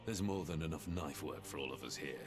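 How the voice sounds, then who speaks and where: A gruff, loyal monster hunter from a fantasy game. His voice sits low, with a weary, caring temper. Prominent music plays underneath. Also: fairly quick. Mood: dry, approving. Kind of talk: video game voice acting.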